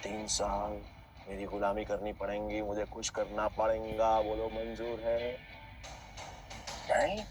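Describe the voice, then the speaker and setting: A man's voice: lowish voice, level tone, unhurried, natural voice. A man playing a taunting gambler villain. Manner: taunting, drops to menacing whispers. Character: scheming male gambler villain, mocking and cruel. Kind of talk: Hindi audio drama acting. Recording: background music bed.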